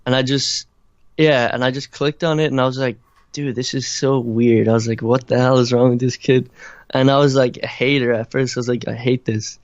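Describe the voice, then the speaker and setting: A young man from Ireland with a slight Irish accent, answering interview questions in a halting, casual way, full of fillers and restarts. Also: mid-range voice, flat delivery, no room sound. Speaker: interviewed musician. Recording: dry, muffled lo-fi recording.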